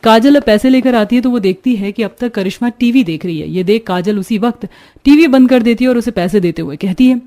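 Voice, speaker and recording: A woman's voice: a little high, fairly quick, dark tone. A woman reading scripted narration for a cartoon story. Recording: music behind the voice. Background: background music.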